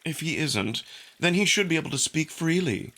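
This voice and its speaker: A man's voice: fairly high pitch, animated, moderate pace, sharp tone, voice pushed up. A man voicing a suave, smugly curious male jackal alien in a visual novel, with polite, needling, unruffled delivery. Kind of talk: visual novel character acting.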